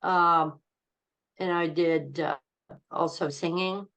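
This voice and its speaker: An older woman speaking slowly in a low voice, a comedian sharing life stories with an interviewer. She rambles and halts with fillers and laughs often as she goes. Her talk is low and unhurried. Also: steady tone, a bit bright. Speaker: comedian guest. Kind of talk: casual interview storytelling.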